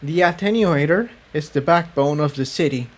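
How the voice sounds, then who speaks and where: A solemn pony mare who has turned her back on her master, read by a man. The voice sits a little high for a man and explains things slowly and gravely, with weary regret, as in a fantasy audiobook. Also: lightly acted. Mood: grave, explanatory. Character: grave, weary female pony defector explaining dark secrets.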